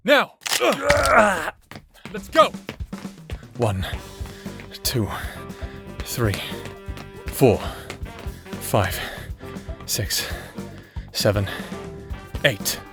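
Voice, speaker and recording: A man playing a terse, wary prisoner leading an escape in an audio drama, brisk and no-nonsense, with music behind. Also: on the high side, wildly swooping pitch, vocal fry, full character voice. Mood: urgent, then focused.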